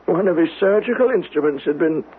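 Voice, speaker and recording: An actor playing a vengeful, devout man over ninety in a radio mystery drama, with a solemn, deliberate, fervent delivery. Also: a little high, expressive, husky. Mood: solemn insistence. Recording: noisy, tinny old radio broadcast recording.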